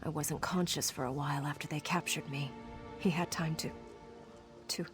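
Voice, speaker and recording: A woman's voice: low-pitched, fairly even intonation, moderate pace, lightly acted. A young woman voicing a wounded, defiant scout and dutiful daughter in a video game, speaking in an earnest, dramatic way. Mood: hurt, pleading. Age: young adult. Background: game music.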